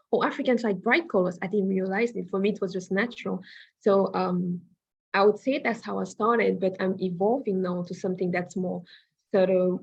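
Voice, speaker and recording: A woman from Cameroon, giving measured, thoughtful interview answers as an interior designer. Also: on the low side, a little mellow, breathy edge. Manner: measured and thoughtful, pauses to find words. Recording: dull lo-fi remote audio.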